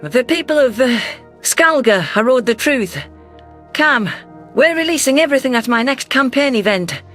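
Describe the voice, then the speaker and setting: A man's voice: extremely high pitch, animated, normal speed, bright, forceful tone, faint music behind, exaggerated voice. A man voicing an emotional female alien governor, narrating a science fiction audiobook in an earnest, formal, dramatic way. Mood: angry, pained.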